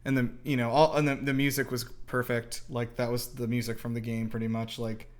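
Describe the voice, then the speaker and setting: Guy hosting a movie chat, medium pitch, kinda rambly, keeps interrupting himself. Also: level tone, a little fast, darkish tone. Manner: rambling, hedging, many self-interruptions. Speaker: movie reaction host. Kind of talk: casual film review chat.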